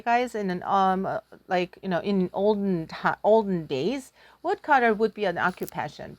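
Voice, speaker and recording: A woman's voice: medium pitch, no echo. A woman giving a casual lesson through storytelling, chatty and self-deprecating, often thinking aloud as a teacher. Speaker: Korean language teacher.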